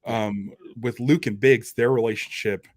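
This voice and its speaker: A man's voice: mid-range voice, fairly even intonation, unhurried, no room sound, clean studio sound. A man hosting a podcast, talking about film in a casual, enthusiastic, conversational way like a fan.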